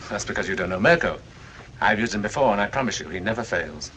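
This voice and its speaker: Actor as a suave, low-voiced film villain. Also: male voice, expressive, natural voice. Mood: calculating. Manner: formal, smooth, deliberate. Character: suave, calculating male villain, regretful yet ruthless. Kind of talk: 1940s film acting. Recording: noisy old 1940s film soundtrack.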